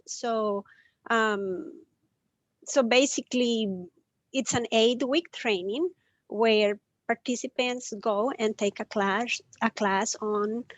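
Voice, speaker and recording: Costa Rican woman, Spanish native, middle-aged guest on a video call; soft-spoken, pausing, pitched a touch high. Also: dry recording. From Costa Rica. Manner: warm, gentle, many um pauses. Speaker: guest, compassion trainer. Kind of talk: live video interview.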